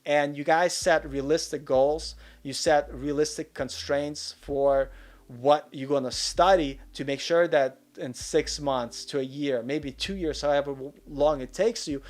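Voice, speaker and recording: A man in his forties hosting a live Q&A, talking frankly and earnestly in a chatty way with lots of fillers. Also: on the high side. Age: 40s. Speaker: channel host. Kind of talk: live Q&A advice chat.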